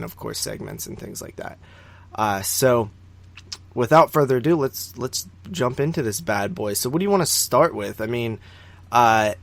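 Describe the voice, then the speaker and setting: A man co-hosting a podcast, chatting casually about films in a halting, rambling way that often doubles back. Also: medium pitch. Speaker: podcast co-host. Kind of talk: casual film review chat.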